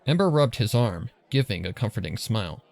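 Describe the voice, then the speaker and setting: A man's voice: on the low side, big pitch swings, brisk, gravelly, darkish tone, a faint crowd. A man reading scripted dramatic story narration for a fan fiction.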